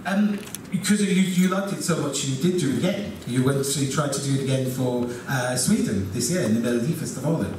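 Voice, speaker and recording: A man's voice: medium pitch, a little fast, few pauses. A man hosting a live panel Q&A, teasing and laughing with his guests. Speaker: panel host. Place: event hall with audience. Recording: echoey hall, distant mic, audible noise.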